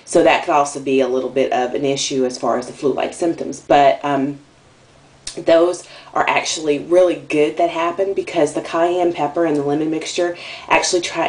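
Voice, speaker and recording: A woman's voice: low-pitched, steady tone, moderate pace, a little mellow. A young woman talking casually to camera in a video diary, rambling and candid, with lots of ums and likes and a slightly nasal voice. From Atlanta area, US South. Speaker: vlogger.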